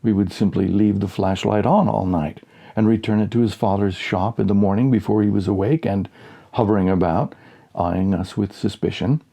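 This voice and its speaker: Male audiobook narrator, dark mellow tone, gravelly fry, dry measured delivery, humorous short story. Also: fairly low pitch, fairly even intonation.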